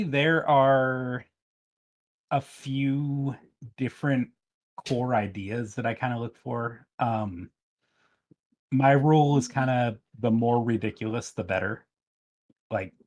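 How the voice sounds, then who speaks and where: A man speaking casually as a voice actor guest on a podcast. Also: medium pitch. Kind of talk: casual chat.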